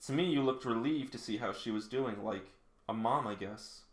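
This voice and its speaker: A man's voice: medium pitch, normal speed, close to an everyday voice. A man reading the part of an earnest, self-conscious, lovestruck teenage boy from a manga. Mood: earnest, probing. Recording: roomy, echoing indoor sound.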